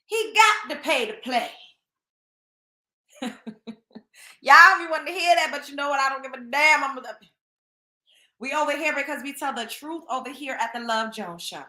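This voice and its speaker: Brief: young woman host, blunt and scolding, high bright voice, echoey room. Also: moderate pace, long pauses. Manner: blunt, scolding, emphatic, repeats points. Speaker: show host. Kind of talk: opinionated commentary monologue.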